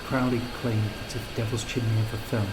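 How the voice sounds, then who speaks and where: A man reading scripted literary narration as a storyteller, in measured, deliberate phrases with pauses. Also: fairly low pitch, little pitch movement, heavy background noise. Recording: music under the voice. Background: music.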